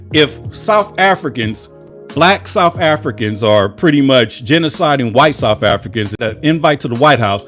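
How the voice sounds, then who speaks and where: An American man hosting his own political commentary channel, delivering an impassioned monologue full of rhetorical repetition. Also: on the high side. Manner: preachy, with rhetorical repetition and emphatic lists.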